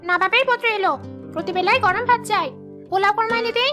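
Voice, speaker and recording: Woman as shrill-pitched, spiteful stepmother. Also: fast. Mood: scornful, irritated. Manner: scolding, sarcastic. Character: harsh, spiteful village stepmother. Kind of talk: children's fairy-tale cartoon dubbing. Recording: music under the voice.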